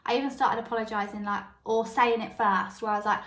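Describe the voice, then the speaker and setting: Woman podcast coach, high bright voice, talks straight to the listener, echoey room. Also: steady tone, quick pace. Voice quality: high, light voice that others called squeaky. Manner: personal anecdotes, frequent checks with the listener. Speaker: podcast host and self-help coach. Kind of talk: solo motivational podcast monologue.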